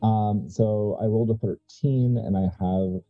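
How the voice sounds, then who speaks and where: A man with a low, very mellow voice talks casually as a player at a game table. He is hesitant, and the audio is dull with faint music behind. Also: steady tone, measured pace.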